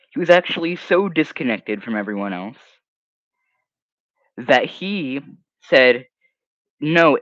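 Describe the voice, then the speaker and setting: Young man, Hebrew native, casual streamer, animated pitch, dry slightly muffled mic. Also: no echo. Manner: rambling, animated, drops to mumbles. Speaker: livestream host. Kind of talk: casual livestream chat reading comments.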